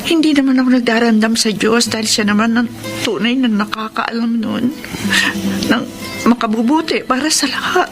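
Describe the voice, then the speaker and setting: Give the part of a resilient, devout young daughter to a woman acting it out. Her voice is high, earnest and full of feeling, in radio drama style with music clearly behind her. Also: moderate pace, close to an everyday voice. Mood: sorrowful, resolute. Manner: earnest, polite, emotional delivery.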